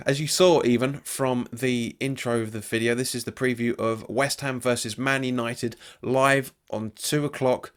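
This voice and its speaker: A man's voice: mid-range voice, smooth. A man hosting his football fan channel, talking, sounding nasal from a cold and often repeating and restarting phrases. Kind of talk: casual football preview monologue.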